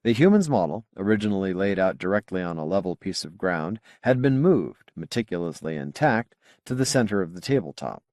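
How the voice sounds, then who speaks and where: A man reading a science fiction novel as an audiobook narrator, with measured, clearly phrased delivery. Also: low-pitched, very animated, darkish tone, no echo, clean studio sound.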